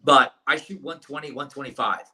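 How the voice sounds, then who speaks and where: A man's voice: mid-range voice, brisk, pushed and bright. A man in his late thirties, hosting a podcast, asking rambling, enthusiastic questions in casual chat. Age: late 30s. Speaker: podcast host.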